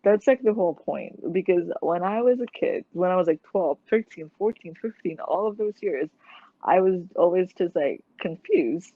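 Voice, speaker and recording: Young woman guest, earnest, halting, loaded with "like", dry and tinny like a phone call. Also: lowish voice, lively intonation, no echo. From Malaysia. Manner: hesitant, full of "like" and restarts, earnest. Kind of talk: casual conversational interview.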